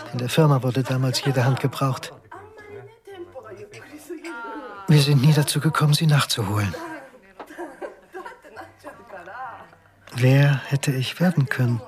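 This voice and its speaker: A man's voice: medium pitch, lightly acted. An actor playing an earnest, wistful husband who looks back on his marriage, speaking in a reflective, intimate radio drama monologue. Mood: troubled, brooding. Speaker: actor and narrator. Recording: studio radio drama under music. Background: prominent music.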